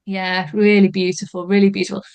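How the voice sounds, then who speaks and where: A woman's voice: medium pitch, level tone, a little fast, darkish tone, clean tone. Chatty woman, enthusiastic. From Yorkshire, England. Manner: chatty, enthusiastic, repeats phrases with many "you know" fillers. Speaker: English teacher, guest. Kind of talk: casual conversation.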